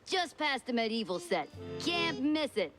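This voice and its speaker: A woman's voice: high-pitched, sing-song, measured pace, music in the background. A woman playing a brash, cheerful cartoon mouse pyrotechnist in a game, with cocky, streetwise talk. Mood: chatty, candid. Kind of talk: 1930s cartoon game acting.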